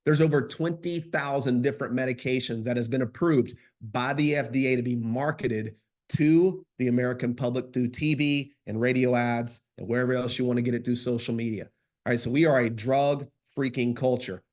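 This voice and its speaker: A man's voice: medium pitch, darkish tone. Congested male podcast co-host, tired, emphatic and insistent in delivery. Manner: emphatic, insistent, repeats phrases for stress. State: congested, runny nose; sleep deprived. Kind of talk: emphatic health monologue.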